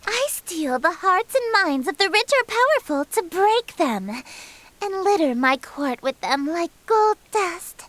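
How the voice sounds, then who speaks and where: A woman's voice: extremely high pitch, very animated, measured pace, fairly bright tone, no echo, full character voice, poor audio. A woman playing a regal eldritch queen of chaos in a game, speaking formally and imperiously with menacing sweetness and theatrical condescension. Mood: grandiose, cold. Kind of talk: visual novel game character acting.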